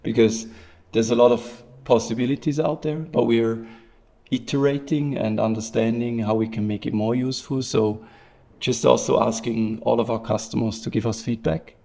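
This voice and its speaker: A man's voice: medium pitch, fairly even intonation, moderate pace, vocal fry, dark tone. A man moderating a keynote, talking in a loose, rambling, unscripted way with fillers. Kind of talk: casual unscripted chat.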